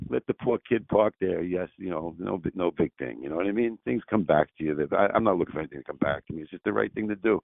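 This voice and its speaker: Property owner, 60s, low and gravelly, dry phone-line sound, chatty, restarts a lot. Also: male voice, no room sound. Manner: rambling, restarts often, laughs easily. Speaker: property owner on a sales call. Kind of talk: casual phone conversation.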